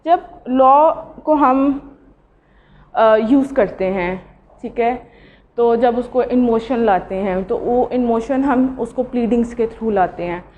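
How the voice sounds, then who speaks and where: A woman with a Pakistani accent giving an informal law lecture, speaking haltingly and mixing in English legal terms. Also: fairly high pitch, slight background noise. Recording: dull lo-fi audio.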